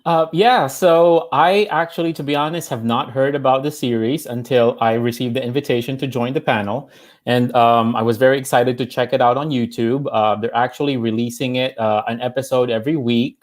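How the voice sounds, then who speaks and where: A man with an average-pitched voice speaks as a panelist over a video call. He talks thoughtfully, often pausing on "uh". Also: steady tone. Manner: thoughtful, with frequent "uh"s. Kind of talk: panel discussion.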